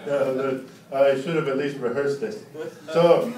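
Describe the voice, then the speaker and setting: A man's voice: mid-range voice, fairly even intonation, measured pace, crowd noise. A man doing live stand-up storytelling, teasing and sarcastic as he rambles through casual banter. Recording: roomy, distant, very noisy live club recording.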